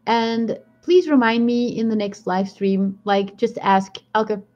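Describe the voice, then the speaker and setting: A woman's voice: fairly high pitch, steady tone, slow pace, darkish tone. A woman with a strong Belgian accent chatting casually on a live stream, rambling and laughing often as she answers viewer comments. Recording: dull lo-fi stream audio.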